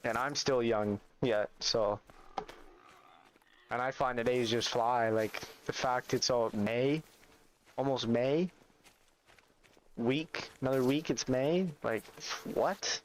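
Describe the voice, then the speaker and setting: A man's voice: mid-range voice, takes it slowly, pauses often, a little hiss or hum, low-quality recording. A man, chatting casually with his livestream chat while playing a hunting game. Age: 20s. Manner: laid-back, chatty, reads and answers chat. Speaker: streamer.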